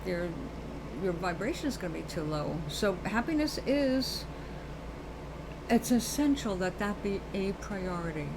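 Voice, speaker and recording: A woman's voice: mid-range voice, lively intonation, a little slow, background noise. A woman from New York with a New York accent, hosting a live show and chatting earnestly and ramblingly about spirit, calling listeners baby and darling. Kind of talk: casual spiritual talk to viewers.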